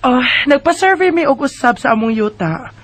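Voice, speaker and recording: A woman's voice: high voice, expressive, normal speed, a bit bright. Brazen Bisaya neighbour woman, played by an actress. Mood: brazen, defiant. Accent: Filipino Bisaya. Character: brazen, stubborn woman neighbour grabbing land. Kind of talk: Bisaya radio drama acting.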